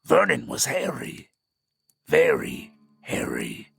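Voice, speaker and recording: A man narrating a scripted story in a suspenseful, theatrical way, with a gravelly, breathy voice. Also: mid-range voice, huge pitch swings, slow pace, a bit bright, soft background music.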